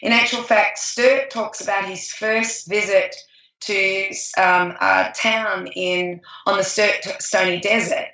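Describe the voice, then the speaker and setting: A woman's voice: medium pitch, fairly even intonation, slow pace, sharp tone, recorded from a distance. A woman co-hosting a podcast, talking enthusiastically in a rambling way with many restarts. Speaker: podcast co-host. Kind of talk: casual chat. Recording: echoey room, voice off-mic.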